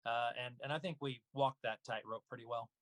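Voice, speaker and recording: A man's voice: medium pitch, fairly even intonation, brisk, dry recording. A man talking casually as an interview guest, explaining his craft thoughtfully and step by step. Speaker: guest audio dramatist.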